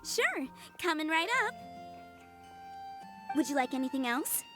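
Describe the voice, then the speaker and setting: An actress playing a polite, obliging young waitress at a food counter in a video game. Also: female voice, sits high, big pitch swings, measured pace, music in the background. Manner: polite customer service.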